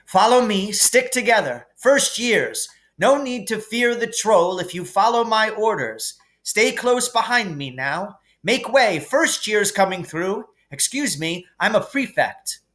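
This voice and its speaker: Man playing a high, bossy boy prefect. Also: very animated, a little slow, hardly any pauses, a bit bright, exaggerated voice. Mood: self-important. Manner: pompous, commanding. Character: bossy, self-important teenage boy prefect.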